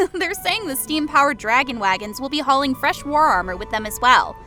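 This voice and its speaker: A woman's voice: sits high, lively intonation, normal speed, sharp tone, soft background music. A woman playing an eager, amused female soldier in an audio drama. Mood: excited, amused. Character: Chicks, eager, amused female soldier studying military schematics. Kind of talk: audio drama character acting.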